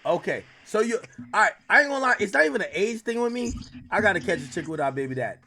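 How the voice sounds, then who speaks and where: A man in his thirties hosting a casual livestream chat, animated and talking over his guest, often repeating himself. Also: high voice, quick pace, gravelly. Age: 30s. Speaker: streamer host.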